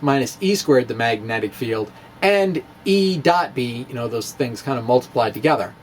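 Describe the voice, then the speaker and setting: A man's voice: a little high, slight background noise. A man giving an informal physics talk, chatty and self-amused, with frequent fillers and little chuckles at his own jokes. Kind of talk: informal physics lecture at a chalkboard.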